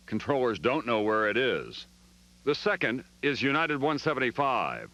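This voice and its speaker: American male correspondent, mid-pitched, grave and dramatic scripted documentary narration. Slightly dull broadcast audio. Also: a bit bright, a little hiss or hum.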